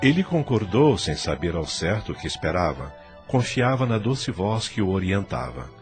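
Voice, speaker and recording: A man's voice: sits low, big pitch swings, moderate pace, music in the background. A man with a Brazilian accent giving scripted dramatic narration for an audio novel.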